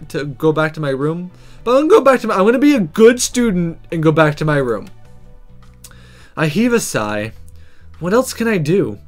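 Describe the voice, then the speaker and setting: Animated game player reading aloud over music. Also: medium pitch. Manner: animated, breaks off to react to the story. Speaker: let's player reading a visual novel aloud. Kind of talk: casual gaming commentary and read-aloud narration.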